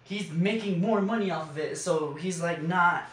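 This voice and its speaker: A man's voice: high-pitched, normal speed, fairly bright tone. Opinionated young man in an echoey room. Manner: opinionated, blunt. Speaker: podcast co-host. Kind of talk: casual podcast chat. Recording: echoey room, distant mic, faint noise.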